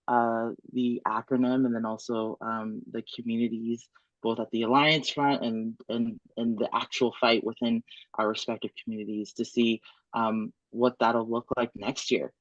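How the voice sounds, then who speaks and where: A man from the United States, a community organizer on a video panel call, speaking haltingly with many "um"s and restarts. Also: medium pitch, level tone, no echo. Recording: muffled dry call audio.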